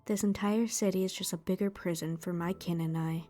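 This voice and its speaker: A woman's voice: on the low side, steady tone, brisk, dark tone, close to an everyday voice. A woman playing a proud young slave warrior in a comic dub, speaking solemnly, formally and bluntly over music. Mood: stern. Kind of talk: dramatized fan comic dub.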